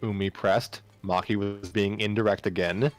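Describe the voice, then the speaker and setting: A man's voice: fairly low pitch, steady tone, moderate pace, faint music behind. A man narrating fan fiction aloud as an amateur reader, sometimes stumbling and rereading phrases. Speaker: story narrator.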